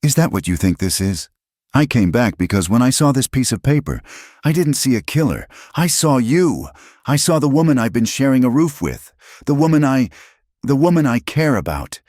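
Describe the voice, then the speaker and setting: A man's voice: deep voice, animated, moderate pace, a little mellow, dry recording, clean studio sound. A man voicing an earnest, frustrated man pleading his love in an audiobook story, raw with emotion.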